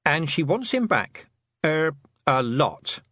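Man voicing a firm, high-pitched young girl. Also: wildly swooping pitch, normal speed, a bit bright, husky, dry recording, studio quality, heavily acted. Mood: troubled. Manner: firm, earnest. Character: determined, forthright young girl.

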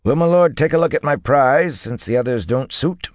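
Male narrator as wiry horse seller, raised pitch, swooping intonation, deferential patter, storybook dialogue. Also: lively intonation, moderate pace, dry recording, studio quality, natural voice. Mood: smooth, selling. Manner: deferential but bland salesman's patter. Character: wiry, leathery, shrewd male stable-keeper selling horses. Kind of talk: audiobook character dialogue.

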